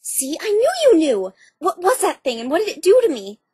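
Woman voicing a guarded young pony, swooping pitch. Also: big pitch swings, normal speed, warm tone, dry recording, clean studio sound. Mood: agitated. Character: guarded, bookish young female unicorn pony. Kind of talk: fan dub of cartoon game dialogue. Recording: background music under the voice.

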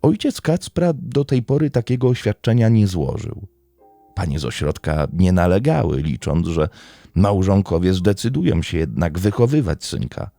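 A man narrates a scripted crime story in a low, mellow voice. His melody rises and falls widely while he keeps a measured storytelling manner, pausing for drama. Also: animated, gravelly, no echo. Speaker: true-crime podcast narrator.

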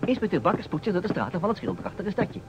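A man's voice: sits high, sing-song, rapid-fire. An actor playing a playful man who stages a detective game, with a theatrical manner, in an old radio play. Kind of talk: vintage Dutch radio play acting. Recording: dull, noisy old radio recording.

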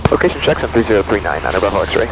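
A man's voice: lowish voice, very animated, racing, creaky, background noise. A young man making clipped aviation radio calls through a headset in a small plane. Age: young adult. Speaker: pilot on radio. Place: small plane cockpit in flight. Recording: cockpit headset audio with music.

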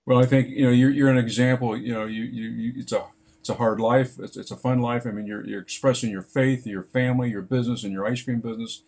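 Older male host, halting and encouraging, laptop call sound from a bright room. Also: mid-range voice, steady tone. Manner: halting, with many restarts and fillers, supportive. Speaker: interviewer. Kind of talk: casual interview over video call.

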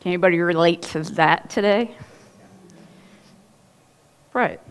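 A woman's voice: on the low side, measured pace, clean tone. A woman pastor in her thirties, preaching a sermon in a casual, storytelling way on a church stage. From Ohio. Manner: chatty, animated storytelling with laughs and asides.

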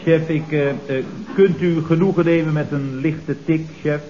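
An actor playing a meek, deferential employee in a comic radio play, hesitant, stammering and politely evasive. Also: male voice, fairly high pitch, fairly even intonation, moderate pace, close to an everyday voice. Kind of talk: comic radio play acting, around 1970. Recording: muffled, noisy vintage radio recording.

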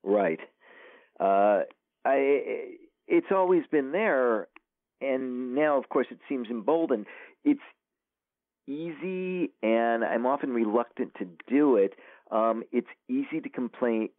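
A man's voice: medium pitch, expressive, takes it slowly, no room sound. A man being interviewed as an author, answering thoughtfully with many hesitations. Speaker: author guest. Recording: tinny phone line.

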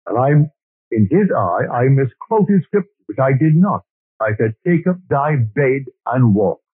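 A man's voice: mid-range voice, slightly rough, dry recording. Older man lecturing on an old tape. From Barbados. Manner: earnest storytelling, quoting scripture and poetry. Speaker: lecturer on scripture. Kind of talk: spiritual lecture. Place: lecture hall. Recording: old lo-fi tape recording, phone-like band.

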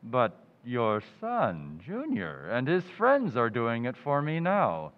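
A man's voice: mid-range voice, huge pitch swings, slow. A man doing a pompous, punning undertaker. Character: pompous middle-aged male undertaker Digger, smug. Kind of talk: 1940s radio comedy acting. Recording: tinny, reverberant lo-fi audio.

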